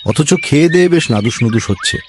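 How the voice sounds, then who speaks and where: A man's voice: fairly high pitch, animated, quick pace, soft background music, natural voice. A man voicing a greedy, scheming village council member in a cartoon, bossy and scolding. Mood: bossy, scornful. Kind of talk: cartoon voice acting.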